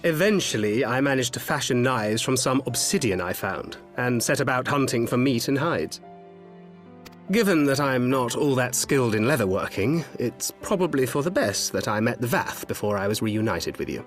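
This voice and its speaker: An actor playing a roguish, witty adventurer in a fantasy game, with theatrical, self-mocking and refined phrasing. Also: male voice, mid-range voice, expressive, normal speed, natural voice. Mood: wry, storytelling. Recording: music behind the voice.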